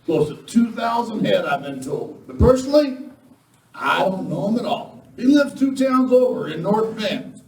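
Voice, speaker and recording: An amateur actor playing folksy western lawmen and ranch hands with cowboy phrasing in a live radio play. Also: male voice, sits high, very animated, moderate pace, a little mellow. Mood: folksy, affable. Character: folksy male western sheriff or ranch foreman. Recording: roomy, distant miking, faint noise.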